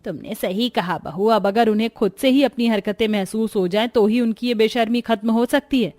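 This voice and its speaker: A woman's voice: a little high, moderate pace, a little mellow, no echo, natural voice. Woman voicing a worried scolding mother-in-law. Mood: upset. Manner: scolding, pleading. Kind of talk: cartoon voice acting.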